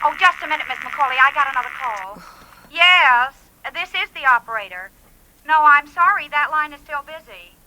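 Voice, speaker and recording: Shrill, flustered switchboard operator. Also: sing-song, normal speed, pushed and bright, a little hiss or hum, exaggerated voice, poor audio. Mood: chatty, flustered. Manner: chatty, harried. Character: flustered, gossipy small-town telephone operator woman. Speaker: actress in a radio thriller. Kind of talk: 1940s radio acting.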